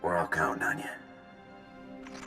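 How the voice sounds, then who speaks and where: An actor playing an earnest, duty-bound military officer in a video game. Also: male voice, slow pace, over music, natural voice. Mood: earnest urgency. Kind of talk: dramatic video game voice acting.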